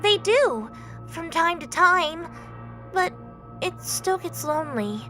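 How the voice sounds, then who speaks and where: A high, delicate, feminine-sounding young male god acted by a woman in an audio drama. He is timid and apologetic, speaking with innocent, lonely earnestness and small hesitations. Music plays under the voice. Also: very animated, slow pace, pushed and bright, full character voice. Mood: wistful, lonely. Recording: background music bed.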